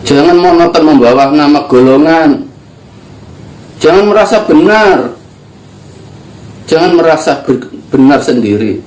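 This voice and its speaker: A man's voice: on the high side, expressive, measured pace, long pauses, a little mellow. Older man preaching in an echoey room. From East Java, Indonesia. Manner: earnest, emphatic preaching. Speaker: spiritual teacher. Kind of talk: religious teaching talk.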